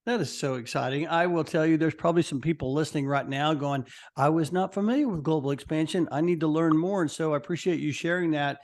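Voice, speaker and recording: An upbeat male podcast host with a fairly high voice for a man. He talks with eager enthusiasm, often restarting his sentences and adding fillers as he introduces and questions his guest. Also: quick pace, few pauses. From Texas.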